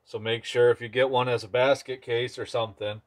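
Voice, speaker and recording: A man with a mid-pitched voice talks casually through hands-on work in an indoor workshop. He speaks in short, offhand phrases, like a mechanic explaining as he goes. Background noise and small clicks of handled parts sit behind his voice. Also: level tone, a little fast. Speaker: chainsaw mechanic and video host.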